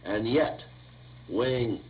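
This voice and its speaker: A man dictating lecture notes aloud in a deliberate, formal way, recorded on 1950 reel-to-reel tape. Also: medium pitch, noisy background.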